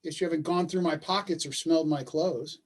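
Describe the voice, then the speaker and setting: A man acts out a worn husband in a stage drama read over a video call. His voice is husky and his delivery is sarcastic and needling, with bitterness under it. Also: on the high side, steady tone, brisk, lightly acted. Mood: bitter sarcasm. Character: bitter, sarcastic husband caring for a sick child. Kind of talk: staged play reading.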